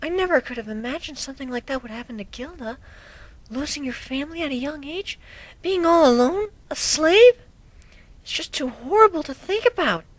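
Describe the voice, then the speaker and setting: A woman acts out a gentle, anxious young pegasus mare with a high, soft voice. She speaks hesitantly and can sink toward a whisper. It is a first-person dramatic reading of a cartoon fan story. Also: animated, moderate pace, no echo, rough recording. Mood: worried, reflective. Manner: hesitant, soft-spoken, breaking into near whispers.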